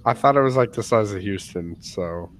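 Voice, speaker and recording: A man's voice: fairly low pitch, expressive, moderate pace, a little hiss or hum. An American man co-hosting a casual podcast chat, telling stories with lots of laughs. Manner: chatty storyteller, laughs often. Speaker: podcast co-host.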